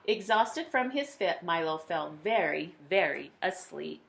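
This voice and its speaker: A woman reading a children's picture book aloud, with dramatic emphasis and drawn-out words. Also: lowish voice, lively intonation, gravelly. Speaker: read-aloud storyteller.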